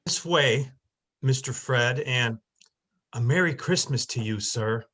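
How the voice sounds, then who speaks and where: Man as meek, husky clerk. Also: medium pitch, sharp tone. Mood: meek, grateful. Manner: deferential, halting. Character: Bob Cratchit, meek, polite male clerk. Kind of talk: amateur dramatic reading.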